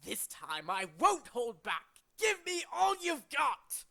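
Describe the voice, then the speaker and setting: A man's voice: extremely high pitch, wildly swooping pitch, slow, vocal fry, sharp tone, pitched above the performer's own voice, heavily acted. A man voicing a smug, boastful male impostor villain from a video game, hammy and easily flustered.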